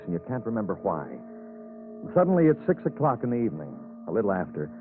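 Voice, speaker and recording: An actor playing a weary, brooding police detective in an old radio crime drama, terse in talk and moodily poetic. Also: male voice, lowish voice, narrow, tinny audio. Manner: terse replies, moody poetic narration. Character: weary, laconic, brooding male police detective narrating his case. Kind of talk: 1940s-50s radio noir acting. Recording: thin old radio broadcast recording.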